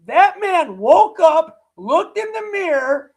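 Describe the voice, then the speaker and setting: High-voiced mocking man, slow talk. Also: very animated. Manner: mocking, laughing ranting. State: sore throat. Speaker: livestream host. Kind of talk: live reaction commentary.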